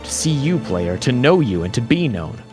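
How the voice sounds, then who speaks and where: A man giving a solemn, theatrical reading of a poem in a storytelling style, with deliberate pauses. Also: mid-range voice, big pitch swings. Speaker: dramatic reader. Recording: voice laid over background music. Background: music.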